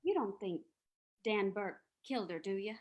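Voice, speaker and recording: A woman's voice: medium pitch, animated, normal speed, muffled recording, natural voice. A woman playing a detective's inquisitive female companion in an old-time radio drama reading. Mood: thoughtful.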